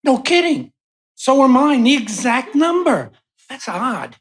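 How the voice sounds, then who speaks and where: A woman's voice: high-pitched, big pitch swings, takes it slowly, exaggerated voice. A woman playing an affable, wry male patient in a live radio play. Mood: surprised.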